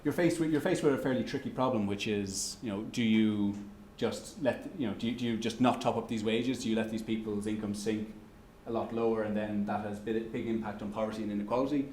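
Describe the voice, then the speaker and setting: A man's voice: on the low side, fairly even intonation, darkish tone, a little hiss or hum. A man with an Irish accent answering audience questions at an economics lecture, stumbling through restarts and fillers. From Ireland. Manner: halting, many restarts and fillers. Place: lecture room. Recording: echoey lecture room.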